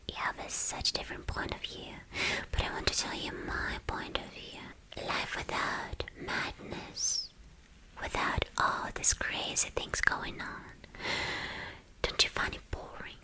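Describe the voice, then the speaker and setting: A woman voicing a mad, cruel young villainess kidnapper in a whispered ASMR roleplay, coaxing and menacing in a breathy whisper. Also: lightly acted, poor audio. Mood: taunting, coaxing. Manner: slow, coaxing, repeating words for menace.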